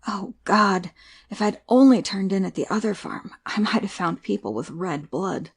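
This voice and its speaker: A woman playing a desperate, worn-out hunted soldier, pleading urgently in a husky, half-whispered voice in an audiobook play. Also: medium pitch, normal speed. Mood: despairing. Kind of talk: amateur audiobook play acting.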